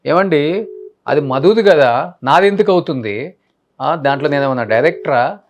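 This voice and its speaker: A man's voice: fairly high pitch, lively intonation, moderate pace. A male news anchor giving unscripted commentary, speaking emphatically and listing his points, mixing in English words.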